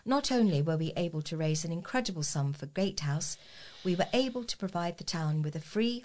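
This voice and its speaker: Low-pitched British woman reading news. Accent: British English. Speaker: volunteer reader of local news.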